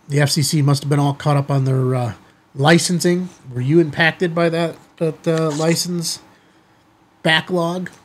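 Husky middle-aged American man, chatty. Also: a little high, steady tone, a little slow. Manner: informal, folksy, addresses viewers directly. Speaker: amateur radio video host. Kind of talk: casual chatty commentary to viewers. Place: small indoor studio office, seated at desk.

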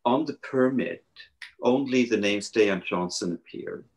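A man, a guest architect speaking haltingly over a video call from a living room. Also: lowish voice, a little mellow. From Switzerland. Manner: halting, many hesitations and restarts. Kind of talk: panel conversation.

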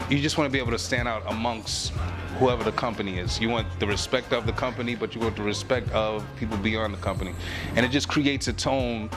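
A middle-aged man, a rapper, talking earnestly and reflectively in a casual interview, with a lot of "you know what I'm saying". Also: on the low side. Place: lounge room on a leather couch. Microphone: handheld mic held by interviewer.

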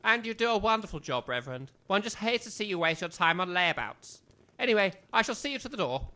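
Blustery old english major, kinda high n very piercing, snobby n snappy, audio comedy. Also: male voice, sing-song, quick pace, sharp tone, heavily acted. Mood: pompous, polite. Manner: pompous, blustering, barking orders. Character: pompous, bad-tempered retired British army major. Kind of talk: comic audio drama acting.

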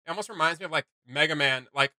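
Fast-talking young man, slightly higher voice. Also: sharp tone. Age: young adult. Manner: slangy, loose conversational delivery. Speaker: reaction video host. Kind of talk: casual reaction chat. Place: small home room with desk, indoors. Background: music.

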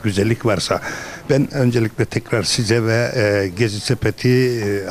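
A middle-aged man presenting a local television program as host, speaking into a handheld microphone. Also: lowish voice. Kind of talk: presenting interview with rhyming sponsor thanks. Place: busy restaurant dining room.

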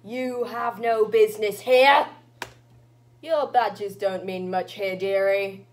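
A woman's voice: medium pitch, fairly even intonation, slow, close to an everyday voice. A woman voicing a stern, cryptic old witch granny who guards the dead, speaking in archaic, foreboding phrases. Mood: stern, forbidding.

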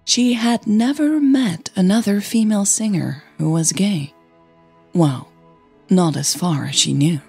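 A woman narrating scripted fiction in a soft, breathy voice. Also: mid-range voice, unhurried, faint music behind.

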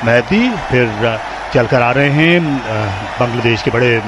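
A man with a Pakistani Urdu accent calls a cricket match ball by ball for radio, in measured, formal phrasing and a mid-range voice. The broadcast carries a steady layer of noise behind him. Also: mid-range voice.

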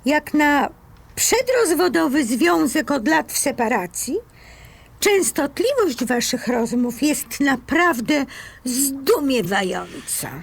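A fed-up, suspicious woman in an audio drama. Her voice is very high and slightly creaky, and she takes her time over each cutting, sarcastic remark. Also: big pitch swings, heavily acted. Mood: bitterly sarcastic. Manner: sarcastic, cutting, drawn-out emphasis.

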